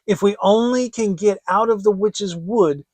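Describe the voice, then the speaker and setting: Man as practical fairy tale boy, raised pitch, earnest, decisive, slow delivery. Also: a little mellow, no echo. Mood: eager. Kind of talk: dramatic fairy tale reading.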